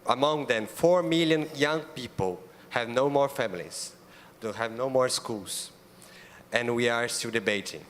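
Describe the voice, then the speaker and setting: A young man with a Brazilian Portuguese accent speaks earnestly on a conference panel, his mid-pitched voice echoing through a big hall. A faint room hum sits underneath, and he fills his pauses with ums and restarts. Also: a bit bright. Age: young adult. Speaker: youth ambassador panelist. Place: large conference auditorium.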